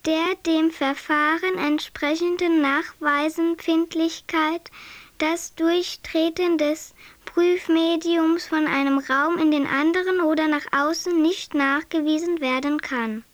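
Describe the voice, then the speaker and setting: A woman's voice: very high voice, fairly even intonation, takes it slowly, dry recording. A woman playing an impersonal reader who recites technical definitions formally in a radio play. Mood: formal, detached. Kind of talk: formal reading of glossary definitions.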